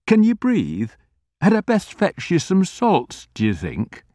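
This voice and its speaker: A man's voice: high-pitched, huge pitch swings, slow pace, mellow, no echo, clean studio sound, pitched above the performer's own voice, exaggerated voice. A man voicing an anxious, kindly old male corner grocer who fusses with old-fashioned politeness. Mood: fretful.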